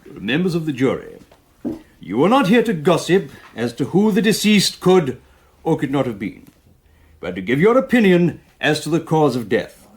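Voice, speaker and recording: A man's voice: fairly high pitch, huge pitch swings, normal speed. A British man playing a formal, methodical coroner in a radio drama, with slightly hesitant questioning. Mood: formal, instructive. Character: official male coroner presiding over inquest, courteous, methodical.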